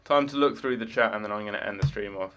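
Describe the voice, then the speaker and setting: A young man with no particular accent chats through a late-night game stream, his voice sitting slightly low. He rambles loosely, restarting sentences and padding them with fillers. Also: fast talker, a bit bright, a little hiss or hum. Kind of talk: casual live-stream chat.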